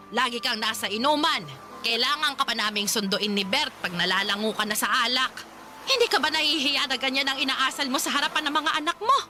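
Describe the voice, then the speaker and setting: A scolding old grandma in a radio drama, high bright voice, soft music under it. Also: female voice. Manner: scolding, reproachful. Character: stern grandmother scolding her grieving drunk son.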